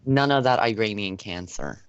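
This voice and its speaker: A man's voice: lowish voice, animated, moderate pace, clean tone, dry recording. A young man in a casual gaming voice chat, joking and teasing, often laughing. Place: online voice call. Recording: lo-fi dry voice chat audio.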